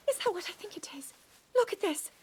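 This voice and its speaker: High-voiced earnest young princess. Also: female voice. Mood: excited, eager. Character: earnest young fantasy princess, scholarly and eager. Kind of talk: video game cutscene acting. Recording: music behind the voice.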